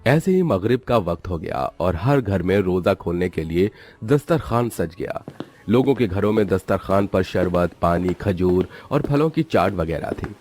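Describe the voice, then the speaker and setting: A man's voice: deep voice, animated, unhurried, darkish tone, slight background noise. A man narrating a scripted story in a dramatic storytelling style.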